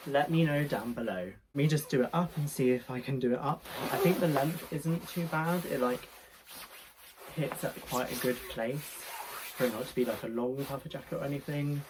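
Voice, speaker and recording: A young man in his twenties talks straight to his camera from a small bedroom. A head cold leaves him a touch nasal, and his chat wanders with fillers and self-corrections. His voice sits at a middle pitch, with a faint hiss in the recording. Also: moderate pace. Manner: chatty, rambling, many fillers and restarts. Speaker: fashion vlogger. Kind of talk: casual try-on haul vlog. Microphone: camera mic, moving toward and away.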